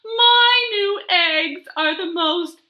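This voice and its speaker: A woman voicing a vain, boastful storybook hen who speaks in a slow, haughty, affected drawl. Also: very high voice, muffled recording, voice pushed up, heavily acted. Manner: drawn-out, crooning, haughty. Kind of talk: animated picture-book read-aloud.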